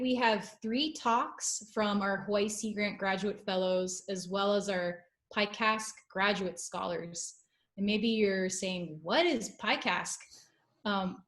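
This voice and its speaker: A woman hosting an online seminar, introducing talks in an informal, conversational presenting style. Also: mid-range voice. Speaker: seminar host and research program leader. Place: indoor room, online webinar. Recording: roomy, echoey online meeting audio.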